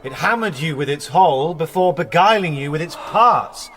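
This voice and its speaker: An actor playing a haunted young war veteran who restores church murals, in a naturalistic radio drama, hesitant in talk and eloquent about art. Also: male voice, on the high side, expressive, moderate pace, fairly bright tone, faint music behind. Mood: awed. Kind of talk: naturalistic radio drama, dialogue and reflective narration.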